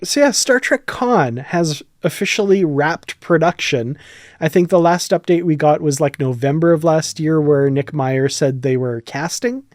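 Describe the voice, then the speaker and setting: A man's voice: on the high side, a little slow. A man hosting a podcast in a casual, chatty, enthusiastic way. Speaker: podcast host.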